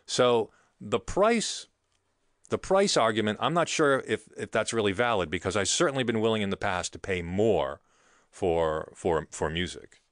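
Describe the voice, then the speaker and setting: A deep-voiced man co-hosts a podcast in casual chat. He sounds easygoing and agreeable. His low voice is recorded dry and close to the mic. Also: lively intonation, moderate pace, no echo. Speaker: podcast co-host.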